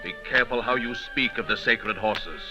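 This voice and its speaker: A man's voice: medium pitch, moderate pace, sharp tone, soft background music, poor audio. A man acting an imperious, menacing Moroccan sultan in a 1930s radio drama, speaking in formal, commanding declamation.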